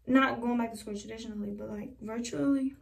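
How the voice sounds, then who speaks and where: A woman's voice: a little high, fairly even intonation, fairly quick, creaky. A young woman chatting casually to her camera while doing her hair in a small bedroom, rambling from one thought to the next. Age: young adult. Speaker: vlogger. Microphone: camera a few feet away. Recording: voice a bit far from the mic.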